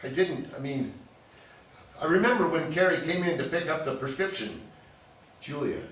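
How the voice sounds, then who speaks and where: A man's voice: fairly high pitch, lively intonation, moderate pace, a bit bright. A man acting in a staged reading as a flustered, defensive small-town pharmacist who stammers and protests. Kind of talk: staged play reading, naturalistic. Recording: very echoey room, distant mic, faint hiss.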